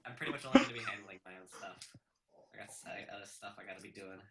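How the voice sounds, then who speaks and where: A man from Canada chatting casually on a group voice call, often restarting his sentences. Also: lowish voice. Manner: halting, self-correcting.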